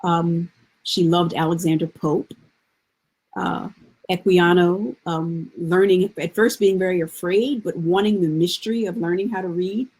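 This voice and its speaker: A woman explains literature in a thoughtful, measured way, as a scholar on a panel. Her voice sits on the low side, with a very mellow tone and a husky grain. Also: fairly even intonation, low-quality recording. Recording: live online event.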